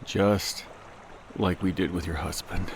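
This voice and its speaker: A man's voice: deep voice, fairly even intonation, takes it slowly, a little hiss or hum. A man playing an anxious, guilt-ridden man of God in an audio drama, hesitant and stammering. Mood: reluctant, uneasy.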